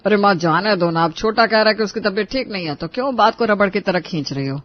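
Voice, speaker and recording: A man's voice: sits high, fast, lo-fi sound, natural voice. A man voicing a henpecked, complaining elder brother in a Hindi cartoon, talking in a familiar, plaintive way. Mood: concerned. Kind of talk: dramatic Hindi cartoon dialogue.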